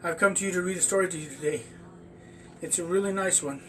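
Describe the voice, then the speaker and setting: Guy with a high voice reading a kids story, stumbles a bit, sounds away from the mic. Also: quick pace, a bit bright. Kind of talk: reading a children's story aloud. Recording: distant mic with faint background noise.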